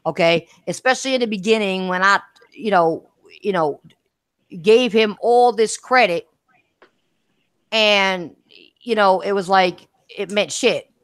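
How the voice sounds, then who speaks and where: A woman's voice: mid-range voice, unhurried, no room sound. Emphatic woman hosting a chat. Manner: emphatic, repeats herself. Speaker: podcast host. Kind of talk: casual gaming podcast chat.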